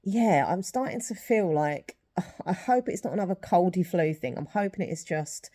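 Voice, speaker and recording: A woman's voice: fairly low pitch, expressive, moderate pace, dark tone. A young woman chatting casually in a rambling vlog, a little sniffly with a sore throat. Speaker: vlogger.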